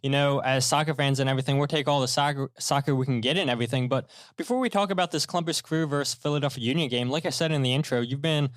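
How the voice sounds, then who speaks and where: A man's voice: mid-range voice, fast, few pauses, dry recording, studio quality. A man from Maryland hosting a soccer podcast, chatty and loose, leaning on fillers. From Maryland, USA. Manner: chatty, leans on fillers like "and everything". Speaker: podcast host. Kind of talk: casual podcast chat.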